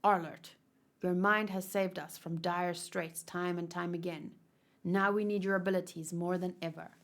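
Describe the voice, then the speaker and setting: A woman reading manga aloud as a stern male military commander, grave, decisive and measured. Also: lowish voice, fairly even intonation, natural voice. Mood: commanding.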